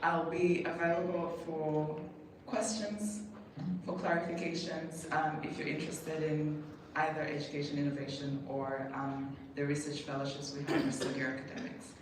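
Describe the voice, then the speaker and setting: A speaker from Tanzania giving a measured public presentation with pauses, as a university programme representative. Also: medium pitch, flat delivery. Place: echoey event hall with audience. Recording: echoey room, distant mic, clearly noisy.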